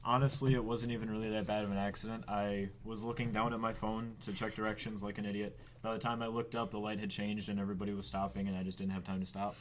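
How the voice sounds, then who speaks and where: A nineteen-year-old man, a podcast guest, chatting casually in a flat, deep voice. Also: quick pace, hardly any pauses, a little hiss or hum. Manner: self-described monotone, deep-voiced. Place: room with friends. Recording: crosstalk from other hosts. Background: friends talking over him.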